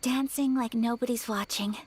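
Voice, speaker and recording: A woman playing a gentle, refined, earnest young noblewoman in a video game, speaking in a soft, sincere, measured manner. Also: a little high, steady tone, slight background noise, natural voice. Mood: soft, contented.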